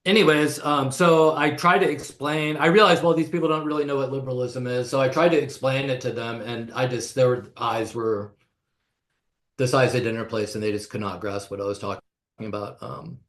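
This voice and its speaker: A man from the United States, a podcast guest, explaining ideas in a thoughtful, unhurried way with frequent pauses. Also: medium pitch, steady tone, a bit bright. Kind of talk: casual conversational discussion. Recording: echoey room.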